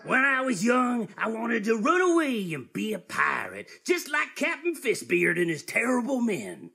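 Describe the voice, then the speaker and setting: Radio comedy role: male actor as muddled boastful grandpa, gravelly and forceful, wildly swooping delivery. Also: very high-pitched, huge pitch swings, moderate pace, exaggerated voice. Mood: eager, muddled. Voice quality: creaky, raspy. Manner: folksy, rambling, earnest. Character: muddled, boastful old man with tall tales. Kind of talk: live comedic radio theatre. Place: live stage before an audience.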